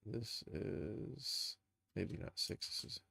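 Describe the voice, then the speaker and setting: A man with a lowish, gravelly voice hosts a casual screen-share tutorial. He thinks aloud as he works, informal and unscripted, in a very dry-sounding recording. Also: lively intonation, slow pace, bright, forceful tone, no room sound. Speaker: streamer, game developer and animator.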